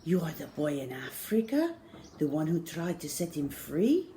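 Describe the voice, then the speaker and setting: A woman voicing a frail, weary old Frenchman in a storybook, halting and regretful. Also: deep voice, big pitch swings, dark tone, a little hiss or hum. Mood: amazed.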